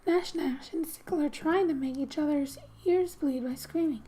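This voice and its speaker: Soft, high-voiced woman, breathy and half-whispering. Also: normal speed. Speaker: gaming ASMR creator. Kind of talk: soft-spoken ASMR, reading game story aloud with casual asides. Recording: quiet background music. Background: soft music.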